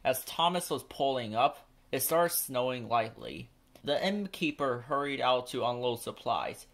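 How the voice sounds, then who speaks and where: A man's voice: mid-range voice, lively intonation, measured pace, fairly bright tone. A man narrating a children's storybook as a read-aloud.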